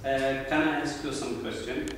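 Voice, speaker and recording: A man interviews someone, asking slow, hesitant questions with non-native grammar. His voice is of middling pitch for a man and comes from some way off in a very echoey room. A faint hiss sits under it. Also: fairly even intonation, a bit bright. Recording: echoey room recorded from a distance.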